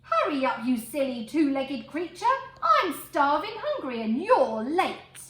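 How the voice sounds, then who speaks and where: A woman's voice: sits high, sing-song, unhurried, fairly bright tone, heavily acted. A woman voicing a bad-tempered, hungry storybook pig who scolds and demands. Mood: cross, threatening. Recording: roomy echo, faint background noise.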